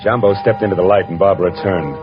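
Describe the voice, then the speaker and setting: An actor with an American accent playing a tough, wisecracking private detective in a vintage radio drama, talking in sardonic, cynical slang. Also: male voice, low-pitched, steady tone, fast, lightly acted. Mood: tense narration. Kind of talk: hardboiled 1940s-50s radio detective acting and narration. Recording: muffled vintage radio recording, music underneath.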